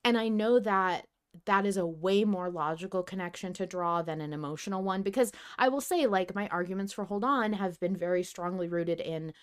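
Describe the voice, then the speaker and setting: A woman hosting a casual fan podcast discussion, talking in an earnest, expressive way. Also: mid-range voice, normal speed, smooth. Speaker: podcast host.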